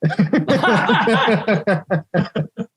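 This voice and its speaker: A man's voice: high-pitched, sing-song, drawn-out words. A man hosting a live currency trading room, explaining chart moves in a casual, joking way and laughing often.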